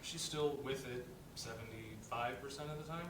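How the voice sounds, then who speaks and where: A man's voice: normal speed, natural voice. A man playing a wry, outspoken office worker in a dramatic reading of a play, speaking in a conversational, emphatic way. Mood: subdued, earnest. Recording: echoey room, distant mic, rumbling noise.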